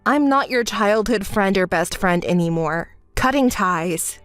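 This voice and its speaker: A woman's voice: mid-range voice, animated, soft background music, pitched below the performer's own voice, lightly acted. Woman acting a cool, sensible young woman. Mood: stern, reproachful. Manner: cool, measured, dismissive. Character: calm, reproachful, sensible young woman, wronged ex-wife. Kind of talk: melodramatic story dub.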